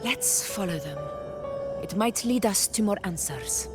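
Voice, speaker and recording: A woman voicing a composed, formal warrior leader in a video game, speaking gravely and with measured solemnity. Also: medium pitch, lively intonation, loud music behind the voice, lightly acted.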